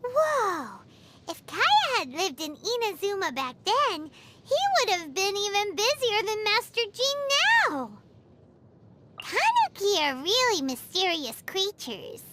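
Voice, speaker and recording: A woman voicing a tiny, excitable, pouty fairy companion in a childlike, squeaky voice for a video game. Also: takes it slowly, noisy background, full character voice. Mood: excited, surprised, then chatty, curious. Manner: refers to self in third person, exclamatory. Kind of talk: animated game voice acting. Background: background music.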